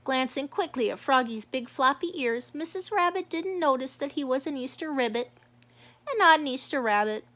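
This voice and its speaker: A woman's voice: high voice, fairly bright tone. A woman narrating a children's storybook as a read-aloud. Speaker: storybook reader.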